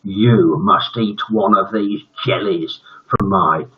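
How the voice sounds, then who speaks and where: A man voicing a ghoulish, sadistic schoolteacher in a storybook, with slow, drawn-out menace and muttered growls. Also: low voice, steady tone. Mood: sly menace. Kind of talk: storybook character reading. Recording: dull lo-fi livestream audio.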